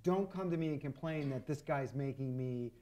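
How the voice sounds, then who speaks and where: Halting man podcast host. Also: medium pitch, warm tone. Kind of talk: casual podcast interview.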